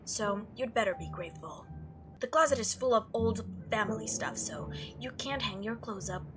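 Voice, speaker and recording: Woman voicing a haughty, deadpan game cousin. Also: medium pitch, normal speed, music in the background, natural voice. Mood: dry, haughty. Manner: deadpan, clipped, sarcastic. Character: curt, dry, haughty cousin Tabitha showing her old house.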